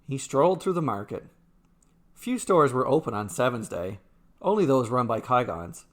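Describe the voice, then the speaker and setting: Male storyteller for scripted fantasy short fiction, mid-pitched voice. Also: very animated, moderate pace. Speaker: narrator, storyteller.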